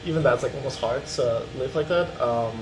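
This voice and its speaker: A young man from the Netherlands sharing his experience on a student panel, quick and full of fillers. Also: mid-range voice, far from the mic, soft background music. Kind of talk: casual panel discussion. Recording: echoey room, off-mic.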